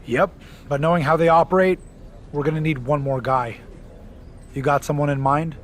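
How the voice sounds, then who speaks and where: A man plays a determined wrestler in a video game. His voice sits a little high, and he talks plainly and resolutely, with faint noise behind. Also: steady tone, normal speed, lightly acted. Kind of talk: video game cutscene acting.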